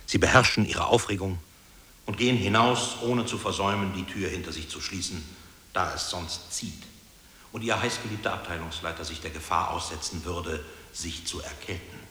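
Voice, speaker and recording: An actor playing a dry narrator who recounts an employee's petition in measured, matter-of-fact radio play style. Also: male voice, sits low, pushed and bright, breathy edge, exaggerated voice. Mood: hushed, measured. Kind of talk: scripted radio play narration addressed to "you".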